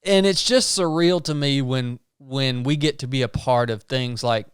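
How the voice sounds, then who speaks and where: A man's voice: mid-range voice, moderate pace, clear, resonant tone, no echo, clean studio sound. A man hosting a podcast, talking in an earnest, folksy, casual way and often tagging his sentences with "man". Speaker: podcast host. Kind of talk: casual interview chat.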